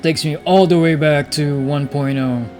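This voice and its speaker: A man's voice: fairly high pitch, steady tone, a little slow, a little mellow, faint music behind. A man giving casual gameplay commentary and reading story text aloud as he plays. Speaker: gaming streamer.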